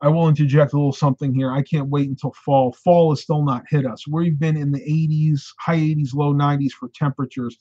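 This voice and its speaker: A man in his thirties, hosting a casual live stream from a room at home, talking animatedly and getting a bit hoarse. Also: on the high side, fairly quick, few pauses. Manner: animated, gestures with hands while talking. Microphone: webcam at desk. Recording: slightly dull lo-fi webcam sound.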